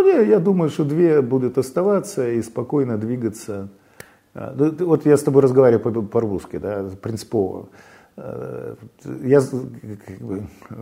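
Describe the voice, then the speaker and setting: A man from Belarus, talking haltingly as an interview guest, with many fillers and restarts. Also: medium pitch, vocal fry, dark tone. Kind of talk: casual interview talk.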